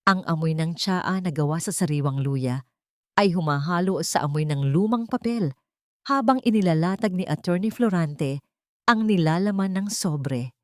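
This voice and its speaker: A woman narrating a scripted audiobook drama in a dramatic, unhurried storytelling manner. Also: lowish voice, dry recording, clean studio sound.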